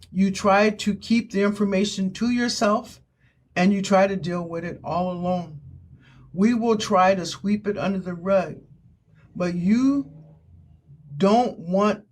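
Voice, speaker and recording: An older woman giving an earnest spoken testimony in short, emphatic, preacherly phrases. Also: mid-range voice. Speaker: author giving her testimony.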